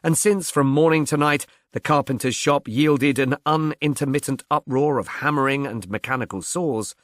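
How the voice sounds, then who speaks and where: A man narrating a mystery novel in a measured, literary storytelling manner. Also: on the high side, dry recording, studio quality. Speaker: audiobook narrator.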